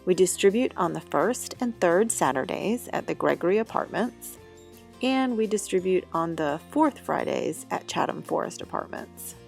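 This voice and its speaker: A woman giving a steady, informative scripted narration about a church food ministry. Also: on the low side, moderate pace, vocal fry, faint music behind. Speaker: ministry volunteer.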